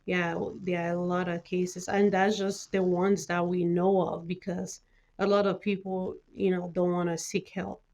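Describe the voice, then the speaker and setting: A woman's voice: fairly low pitch, level tone, normal speed, darkish tone. A middle-aged woman from Cameroon, talking informally as an interview guest with plenty of conversational filler phrases. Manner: informal, peppers talk with "you know". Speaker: guest, mental health nurse practitioner.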